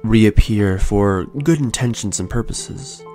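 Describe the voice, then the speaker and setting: A young man in his twenties hosting a podcast, telling his own story in a soft, slow, soothing way. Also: fairly low pitch. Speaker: podcast host. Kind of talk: calm reflective storytelling. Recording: faint lo-fi music bed. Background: soft lo-fi music.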